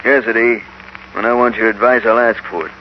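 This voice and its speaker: A man's voice: medium pitch, fairly even intonation, fairly quick, lightly acted. An American man acting a curt, arrogant, scheming land developer in a 1950s radio western. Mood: curt, hostile. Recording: muffled, noisy old radio broadcast.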